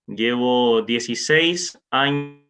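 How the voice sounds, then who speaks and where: A man in his forties speaking informally to a congregation as a visiting preacher, halting with frequent pauses. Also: medium pitch, fairly bright tone. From Cuba. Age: 40s. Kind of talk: informal testimony and greeting to a congregation. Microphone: remote online meeting microphone. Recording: dull, lo-fi online meeting audio.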